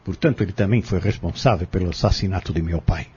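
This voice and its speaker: An actor playing a cunning, vengeful defendant giving deliberate, defiant testimony in an audio drama. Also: male voice, deep voice, dark tone, husky. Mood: cold, calculating. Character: cunning vengeful male defendant confessing in court. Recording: dull lo-fi audio.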